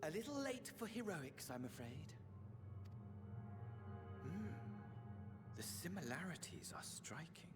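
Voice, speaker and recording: An actor playing a theatrical, mocking villain in a video game cutscene, flamboyant and sneering, sometimes dropping to a whisper. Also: male voice, a little high, big pitch swings, slow, pauses often, over loud music.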